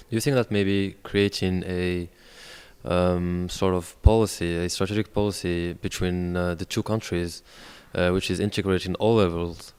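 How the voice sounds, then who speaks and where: A man putting interview questions in a hesitant, measured way. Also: low-pitched, clear, resonant tone.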